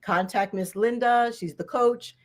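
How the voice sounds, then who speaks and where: A woman's voice: fairly high pitch, a little slow. A woman in her sixties, a guest author, chatting in a friendly, enthusiastic way on a live stream. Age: 60s. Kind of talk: casual live-stream chat.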